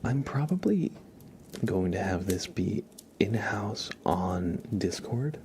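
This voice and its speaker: A man hosting an ASMR livestream, talking to viewers in a soft, hushed, gentle and halting way. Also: fairly low pitch, animated, a little hiss or hum. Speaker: live streamer, ASMR host.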